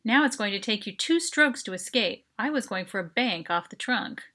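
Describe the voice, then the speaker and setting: A woman's voice: medium pitch, lively intonation, moderate pace, pushed and bright. A woman voicing a headstrong teenage girl in a short story, direct and a little defiant. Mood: teasing. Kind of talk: dramatic short story reading.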